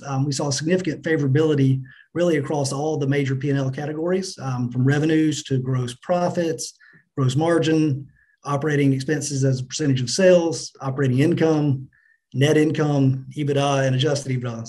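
A middle-aged man answering business interview questions as a company finance chief, in a measured, businesslike manner. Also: a little high, steady tone, a little mellow. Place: indoors on a remote video call.

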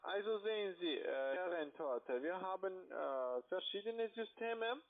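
A man with a foreign accent, not a native speaker, gives an insistent phone sales pitch in halting phrases. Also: animated. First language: not German. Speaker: call-centre investment salesperson. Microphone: phone call. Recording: tinny narrow-band phone line.

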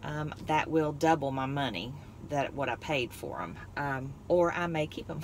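A woman's voice: low-pitched, expressive, normal speed. A woman, chatting casually and rambling about her finds from inside a car. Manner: chatty, rambling, laughs often. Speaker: vlogger. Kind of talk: casual haul chat. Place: parked car interior, then driving. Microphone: phone on dashboard. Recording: noisy car interior.

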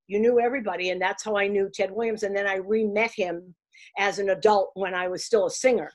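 An older woman with a Boston accent, her voice sitting a bit high for a woman. She tells her stories in an animated, chatty way and often restarts mid-sentence. She is heard on a video call from a room at home. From Boston, USA. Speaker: guest, baseball broadcaster. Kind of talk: casual interview storytelling. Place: room at home, framed pictures on the wall.

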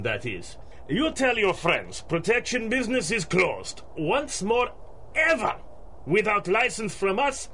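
An actor playing a menacing gangster enforcer in a 1930s-style radio drama, terse and threatening. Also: male voice, high-pitched, bright, forceful tone, slight background noise, exaggerated voice.

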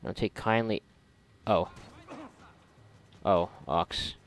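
A man giving casual, reactive commentary while gaming, sarcastic and foul-mouthed, with a gravelly voice. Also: low voice, slow pace. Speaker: gamer recording a playthrough. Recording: noisy, game gunfire behind voice. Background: video game gunfire and ambience.

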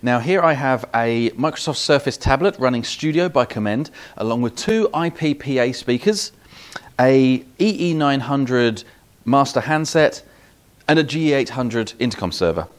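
A man in his forties with a British accent giving a methodical, step-by-step product demonstration. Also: medium pitch. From United Kingdom. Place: small office demo room, indoors.

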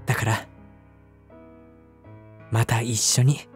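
A man acting as a devoted young husband, speaking gently and intimately to the listener as his wife in an audio drama. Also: mid-range voice, flat delivery, slow, close to an everyday voice. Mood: earnest, pleading. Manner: gentle, affectionate, softly chuckling between phrases. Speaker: voice actor. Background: background music.

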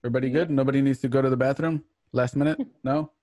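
A man's voice: mid-range voice, steady tone, rapid-fire, a little mellow, no room sound, clean studio sound. Man hosting an online radio play. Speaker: show host. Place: home, on a group video call.

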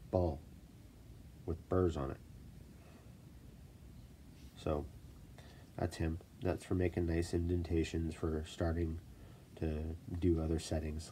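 A man with a stuffy voice from a cold, casually explaining stone setting in a relaxed, self-deprecating way at his workshop desk. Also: very deep voice, slight background noise. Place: workshop desk, filmed from above.

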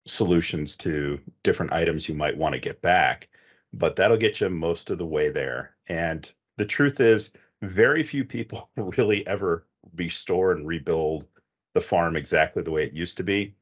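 A middle-aged man with a very deep voice explains things methodically in a conversational panel style. He sounds like an expert talking through a headset on a video call, with slightly muffled, lo-fi audio. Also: slightly rough. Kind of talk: conversational technical advice.

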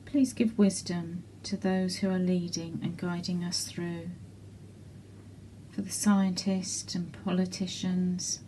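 A woman leading intercessory prayers in a measured way, pausing between short petitions. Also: lowish voice, mellow, a little hiss or hum, poor audio. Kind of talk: slow spoken intercessory prayer.

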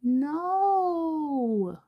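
A woman's voice: high-pitched, big pitch swings, very slow, phone-quality sound, voice pushed up, heavily acted. A woman voicing an indignant, easily flustered young male hero with wings, protesting emphatically.

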